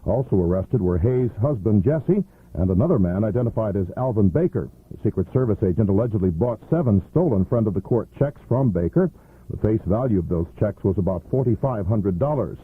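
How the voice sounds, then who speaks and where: A muffled, phone-narrow 1980s radio aircheck of an American man anchoring the news. He reads from a script in a husky voice with lively intonation. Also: lowish voice, fairly quick.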